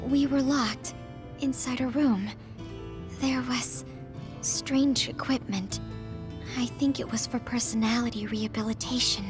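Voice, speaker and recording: Woman playing a reserved, fairly high-voiced girl. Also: steady tone, a little slow, over music, close to an everyday voice. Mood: cautious. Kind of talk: scripted video game cutscene acting.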